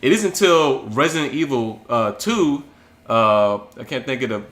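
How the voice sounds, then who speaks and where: A man's voice: medium pitch, animated, normal speed, a bit bright. A young man co-hosting a casual chat, speaking earnestly with frequent ums. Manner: earnest, full of fillers like um. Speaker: co-host.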